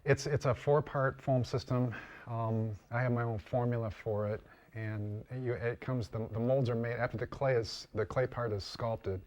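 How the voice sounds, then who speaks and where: A man's voice: mid-range voice, steady tone, moderate pace, darkish tone. A man being interviewed as a costume and prop maker, explaining informally and often repeating and rephrasing himself.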